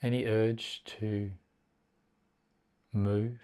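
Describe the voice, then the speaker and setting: A man leading a guided meditation as a teacher, speaking in a measured, deliberate way with long pauses between short phrases. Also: on the low side, level tone, dark tone, clean tone.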